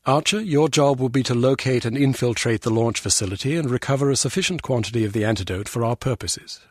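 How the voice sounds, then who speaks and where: Actor as a pompous, husky intelligence official. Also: male voice, mid-range voice, fast, no room sound, natural voice. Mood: businesslike. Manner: pompous, dry, curt. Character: sceptical, sardonic male intelligence official. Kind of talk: video game voice acting.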